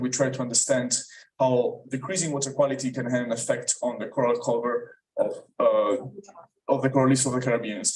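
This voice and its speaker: A man's voice: mid-range voice, a little mellow. A young man from Italy with an Italian accent, giving a hesitant academic talk full of ums and uhs as a student presenter. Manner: hesitant, many fillers. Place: lecture room. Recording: voice from a distance in a lecture room.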